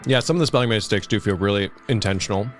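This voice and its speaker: A man's voice: lowish voice, fast talker, faint music behind. A man co-hosting a livestream, chatting casually and reading out comments. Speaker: livestream co-host.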